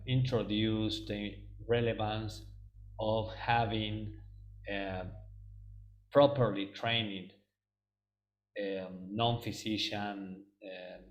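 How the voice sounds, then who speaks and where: Slow, low-voiced Argentine man presenting. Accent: Argentine Spanish. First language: Spanish. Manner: hesitant, many ums and restarts. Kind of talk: webinar presentation.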